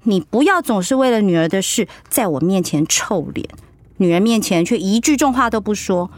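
An actress playing an outspoken, doting middle-aged wife in a radio drama, with a Taiwanese Mandarin accent. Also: female voice, medium pitch, lively intonation, normal speed, dry recording, natural voice. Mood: nagging, pointed.

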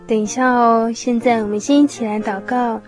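A woman playing a caring, easily worried young mother of small children in a radio drama, gentle and motherly. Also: a little high, little pitch movement, moderate pace, natural voice. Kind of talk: radio drama acting with narration. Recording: music behind the voice.

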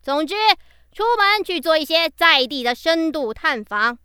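A woman's voice: high voice, expressive, unhurried, sharp tone, dry recording, full character voice. A woman playing a nagging, stern but caring schoolteacher in a children's audio drama. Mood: instructive.

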